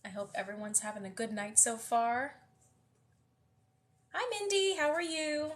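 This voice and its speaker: A slightly high-pitched woman with a stuffy, nasal voice hosts a live online book reading. She chats casually and warmly with viewers, with plenty of fillers and restarts. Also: unhurried. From US West Coast. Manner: chatty, friendly, many fillers and restarts. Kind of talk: casual livestream chat greeting viewers.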